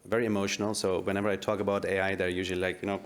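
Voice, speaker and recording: A man's voice: deep voice, little pitch movement, very fast. A man with a German accent introducing himself as a tech founder on a conference panel, casual and full of fillers. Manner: casual, filler-heavy, uses "like" often.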